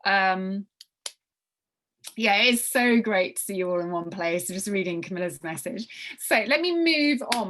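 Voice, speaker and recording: A woman giving an informal briefing to a group as a workshop leader, halting and often repeating phrases for emphasis. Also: medium pitch, background noise. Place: online group video call.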